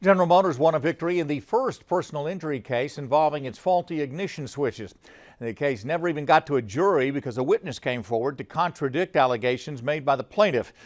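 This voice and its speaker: A male news anchor with a medium-pitched voice reads scripted stories. He delivers them in the structured manner of a television newscast.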